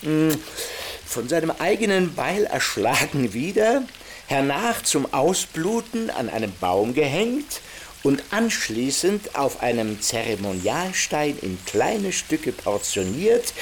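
A woman narrating a literary radio play in a deliberate, drily ironic way, savouring the phrases. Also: extremely low pitch, slight background noise.

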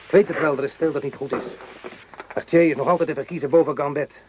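An actor playing an anguished, protective French army corporal, once a farmer, with an earnest, deliberate delivery in a radio play. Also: male voice, fairly high pitch, fairly even intonation, darkish tone, lightly acted. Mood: earnest, patient. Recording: clearly noisy old radio play recording.

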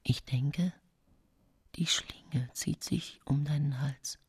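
An actress playing an anxious, secretive middle-aged woman poisoner, confiding in a hushed half-whisper in a radio play. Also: deep voice, slow, breathy edge, no room sound, heavily acted. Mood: fearful, hushed. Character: anxious, secretive 19th-century woman poisoner confessing her story. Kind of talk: dramatic radio play monologue.

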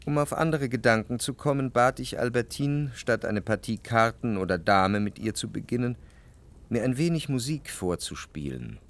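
An actor playing an indecisive, brooding young man, narrating a literary radio drama in a reflective, measured way. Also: mid-range voice, lively intonation, gravelly. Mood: contemplative. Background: instrumental music.

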